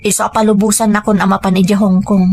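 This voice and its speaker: Woman doing earnest ilocano mom in an audio drama, humble but determined, normal pitch, bit of music behind. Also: flat delivery, quick pace, a little mellow, close to an everyday voice. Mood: earnest, reassuring. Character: earnest married daughter and mother, humble, determined.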